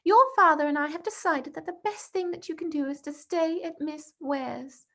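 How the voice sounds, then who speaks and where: A woman's voice: high voice, normal speed, sharp tone, voice pushed up. A woman voicing a caring mother in a storybook, sad, tender and reassuring as she reads out her letter.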